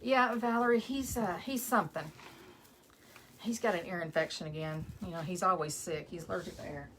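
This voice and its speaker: A woman's voice: lowish voice, fairly quick, fairly bright tone, a little hiss or hum. A woman with a West Texas accent hosting a live crafting stream from home, chatting casually and greeting viewers by name as she works. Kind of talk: casual chat while crafting.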